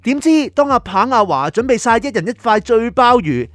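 A man's voice: extremely high pitch, big pitch swings, moderate pace, a bit bright, no echo, full character voice. A man with a Hong Kong accent playing an exasperated, henpecked boyfriend, telling his love woes in a colloquial, self-mocking radio drama style. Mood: wry. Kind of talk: dramatised first-person comic storytelling.

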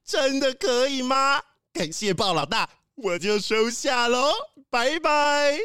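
A woman's voice: high-pitched, expressive, takes it slowly, background noise. A woman playing an eager, easily amazed young deliveryman in a children's audio drama. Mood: grateful. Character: eager, wide-eyed young male deliveryman, thrifty.

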